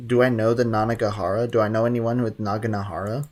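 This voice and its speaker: A man's voice: on the low side, flat delivery, fast talker, a little mellow. A man streaming a video game, casually rambling, joking and chatting with viewers. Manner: rambling, joking, talks to chat. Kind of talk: casual gameplay commentary.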